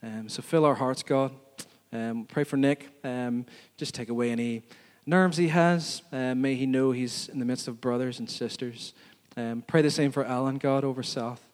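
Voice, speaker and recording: A man's voice: mid-range voice, fairly even intonation. Earnest man praying in a reverberant church hall. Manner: earnest, halting, pausing often. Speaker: church leader. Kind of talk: church announcements and spoken prayer.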